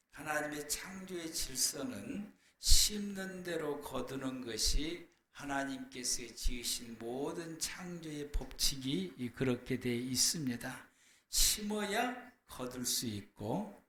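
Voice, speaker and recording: A man preaching a church sermon as a pastor, hoarse from a cold, in a deliberate, explanatory way with pauses. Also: mid-range voice, pushed and bright. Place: church, speaking to a congregation. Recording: echoey room with faint background noise.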